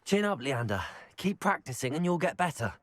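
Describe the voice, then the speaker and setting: A man's voice: fairly high pitch, sing-song, brisk. A man voicing a young student who politely reassures a classmate. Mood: reassuring.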